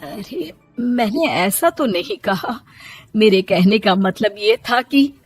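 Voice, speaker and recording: A woman voicing a stern, disapproving older grandmother and mother-in-law in a moral-story cartoon, with a firm, admonishing manner and a husky voice. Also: mid-range voice, animated, slow pace, soft background music. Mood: complaining.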